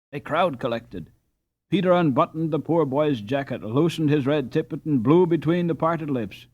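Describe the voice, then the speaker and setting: A man reading a storybook aloud as an audiobook narrator, in an expressive, scripted style. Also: a little high, highs cut off.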